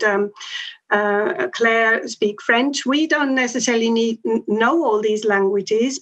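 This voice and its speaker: A woman's voice: on the high side, a bit bright. A middle-aged woman, not a native English speaker, answering a panel question on a video call from home. She talks thoughtfully, with many ums and restarts. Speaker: education researcher. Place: home room with bookshelves and plants. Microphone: laptop webcam on video call. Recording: dull lo-fi video call audio.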